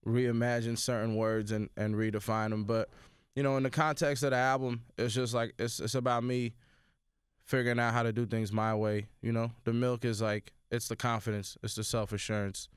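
A young man with a New York accent, originally from Sudan, talking casually as an interview guest in a radio studio. He speaks in a relaxed way and repeats words as he thinks. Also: mid-range voice, normal speed, clean tone, dry recording. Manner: laid-back, repeats words while thinking. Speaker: rapper guest. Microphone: studio mic.

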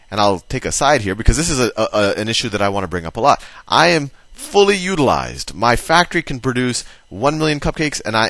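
A man's voice: fairly low pitch, fairly bright tone, no echo. A man giving a casual explanatory lesson, talking it through conversationally and thinking aloud as he writes. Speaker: teacher.

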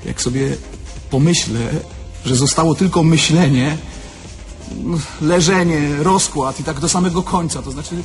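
An actor playing a naive, pleading dead young car thief, speaking simply and hesitantly in a theatrical tragifarce. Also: male voice, on the high side, a little slow, faint music behind. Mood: gloomy.

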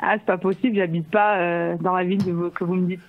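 A woman's voice: fairly low pitch, flat delivery, fast talker. Woman on a noisy, muffled phone line. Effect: internet phone call. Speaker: phone call recipient. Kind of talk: spontaneous phone conversation. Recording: noisy narrow-band internet phone line, clicks.

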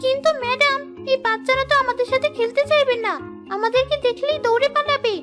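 A woman's voice: extremely high pitch, fairly even intonation, fast. A woman voicing a mischievous little ghost schoolgirl in a children's cartoon, with a whiny, childlike manner. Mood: curious, polite. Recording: loud children's cartoon music behind.

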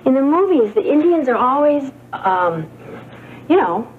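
An actress playing a naive, eager young housemaid in a soap opera, chatty and artlessly curious. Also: female voice, high voice, big pitch swings, unhurried, slight background noise. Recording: muffled 1980s TV tape.

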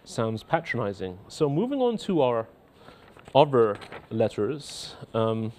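A man in his thirties with a faint Scottish accent, a cleric in a TV studio reading out and answering viewer letters in a measured, earnest way. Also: mid-range voice, big pitch swings, a little mellow, a little hiss or hum. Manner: measured, earnest, repeats words when starting sentences. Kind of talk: studio talk show, reading letters aloud. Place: indoor TV studio.

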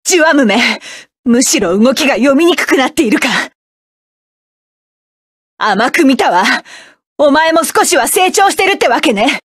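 Proud hardened mech pilot woman, high voice, clipped barks, dry studio sound. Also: lively intonation, moderate pace, pauses often, no room sound. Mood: battle-ready. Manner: sharp, self-assured, clipped combat barks. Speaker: game character. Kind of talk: game battle voice lines.

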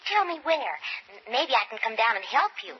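A woman's voice: on the high side, big pitch swings, normal speed, husky, heavily acted. An actress playing an eager young woman office helper, heard over a phone line. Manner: eager, keen. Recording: muffled 1940s radio broadcast.

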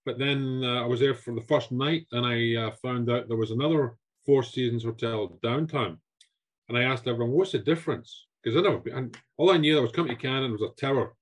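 A man's voice: mid-range voice, fast. Scottish man telling stories on a video call. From Scotland. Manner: animated, repeats words, gestures with hands. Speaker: guest chef. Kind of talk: storytelling interview answers. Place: home room with shelves.

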